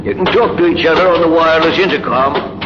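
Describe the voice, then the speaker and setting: A man's voice: a little high, quick pace, close to an everyday voice. An actor playing a sneering, sadistic crewman who taunts prisoners aboard a spaceship, in 1950s radio drama style. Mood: menacing relish. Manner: mocking, taunting. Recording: noisy, dull vintage radio recording.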